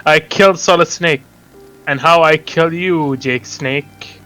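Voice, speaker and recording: A man voicing a boastful, cruel villain from an amateur fan story, gloating in a deliberate, repetitive way. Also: fairly high pitch, pushed and bright, soft background music, low-quality recording.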